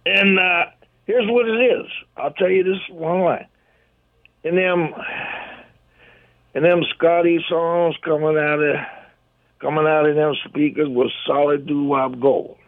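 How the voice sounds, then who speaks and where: An older man with a gruff voice calling in to a radio show, chatting in a rambling, folksy way. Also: fairly high pitch, fairly even intonation, a little slow, pauses often. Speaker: caller. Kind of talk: casual phone-in chat. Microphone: telephone. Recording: tinny phone line.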